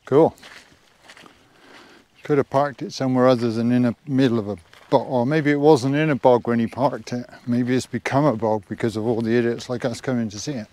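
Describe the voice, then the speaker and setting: A man's voice: mid-range voice, fairly even intonation, fairly quick, mellow. Older Englishman chatting casually outdoors. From England. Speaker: outdoor vlogger. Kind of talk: casual chat to camera. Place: outdoors on open hilltop. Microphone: clip-on lapel mic.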